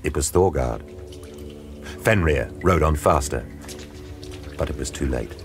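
Deep-voiced man narrating over music. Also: expressive, measured pace. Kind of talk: dramatic scripted narration of an audio drama. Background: dramatic music.

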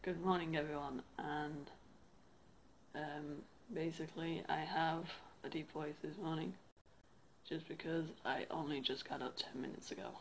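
A young woman talks straight into her phone at arm's length, rambling through her plans with plenty of ums. She speaks at an unhurried, easy pace, with a faint hiss of background noise around her. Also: low-pitched, steady tone, low-quality recording. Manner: chatty, rambling with many fillers. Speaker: vlogger. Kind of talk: casual vlog to camera. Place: bedroom, then indoors at home. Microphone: phone held at arm's length.

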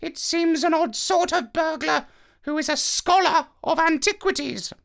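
A haughty parrot genius from a children's storybook, extremely high and piercingly bright. The bird squawks out each phrase slowly and pompously, terribly pleased with its own intellect. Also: male voice, gravelly, heavily acted. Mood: self-satisfied. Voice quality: shrill, squawky. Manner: pompous, drawn-out theatrical delivery.

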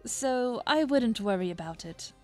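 A woman's voice: fairly high pitch, animated, unhurried, soft background music. A woman playing a shrewd, level-headed young seafarer in a fantasy audio drama, matter-of-fact in delivery. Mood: calm, shrewd.

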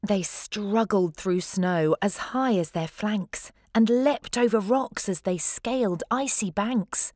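A woman's voice: mid-range voice, slow pace, few pauses, darkish tone, no room sound, studio quality. A woman reading a rhyming children's story aloud, expressively and with dramatic emphasis. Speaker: storybook reader.

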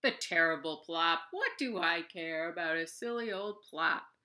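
Woman doing a big grumpy bear, loud and bossy, slow talker. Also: on the low side. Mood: gruff, demanding. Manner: loud, gruff, demanding.

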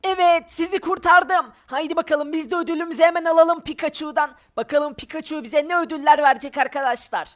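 A woman's voice: high-pitched, fairly bright tone. A woman giving playful game commentary, exclaiming and narrating each of her moves aloud. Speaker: gaming video player. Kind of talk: playful Minecraft adventure commentary.

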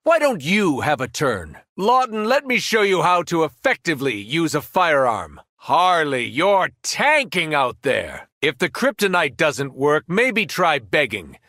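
An actor playing a corrupted superhero turned merciless tyrant in a video game, taunting and commanding with theatrical menace. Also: male voice, fairly high pitch, very animated, unhurried, few pauses, bright, forceful tone, dry recording, clean studio sound, exaggerated voice. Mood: mocking, taunting. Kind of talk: video game villain voice acting.

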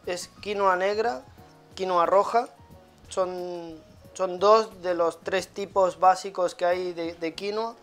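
A man's voice: sits high, fairly even intonation, slow pace, fairly bright tone. Male chef demonstrating, music behind. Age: 30s. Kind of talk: casual cooking demonstration. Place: small tiled restaurant kitchen.

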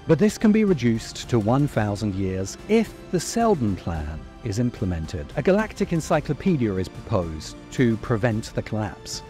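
A middle-aged man delivering a scripted video essay in measured, emphatic, dramatic phrases, close to the microphone. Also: mid-range voice, dark tone. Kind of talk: scripted narration, long-form commentary. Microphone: desk microphone close in front. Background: background music.

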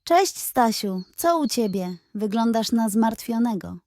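A woman's voice: a little high, lively intonation, measured pace, darkish tone, dry recording, studio quality. A woman voicing an optimistic little female squirrel, kind and comforting, in a children's bedtime story. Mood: friendly.

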